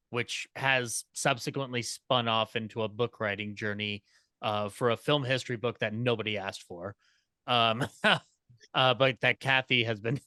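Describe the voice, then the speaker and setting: A man with a crisp, forward voice, recorded close and dry. He speaks as a podcaster on a panel, in a wordy, fannish, casual way. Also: mid-range voice, bright, forceful tone, no room sound.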